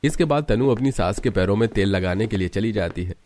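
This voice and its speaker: A man with a low-pitched voice narrates a domestic cartoon story from a script, with music playing behind him. The delivery is that of a storyteller setting each scene. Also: lively intonation, brisk, a little mellow.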